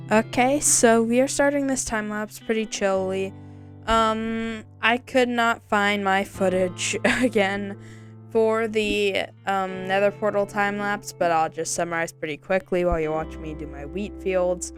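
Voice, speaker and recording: A woman hosting her gaming channel, talking casually over a time lapse with a slightly scratchy voice and a relaxed, rambling manner full of ums. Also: mid-range voice.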